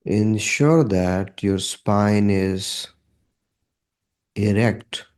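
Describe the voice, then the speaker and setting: A man leading a guided meditation in a calm, measured manner, leaving long pauses between short phrases. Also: fairly low pitch.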